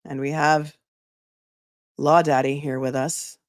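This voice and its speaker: Hoarse woman reading a legal brief. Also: low-pitched, flat delivery, takes it slowly, no room sound, clean studio sound. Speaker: attorney and channel host. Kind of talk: reading a legal brief aloud, then casual chat.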